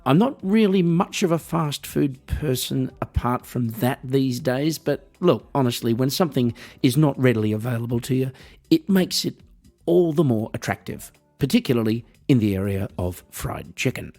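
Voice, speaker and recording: An Australian man hosting a podcast, delivering a wry, rambling, mock-earnest comedic monologue with a husky voice. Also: mid-range voice, animated, normal speed, darkish tone, soft background music. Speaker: podcast host.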